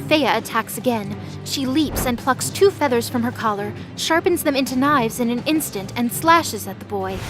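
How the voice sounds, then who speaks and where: A woman reading scripted dramatic story narration in a measured, descriptive storytelling manner. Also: fairly high pitch.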